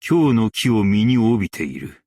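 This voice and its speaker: A man playing a stern, dignified old-world master craftsman and warrior in a game, speaking in archaic, formal, measured Japanese diction with weighty delivery. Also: on the low side, sing-song, darkish tone, no echo, studio quality. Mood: grave, measured. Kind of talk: Japanese game character voice lines.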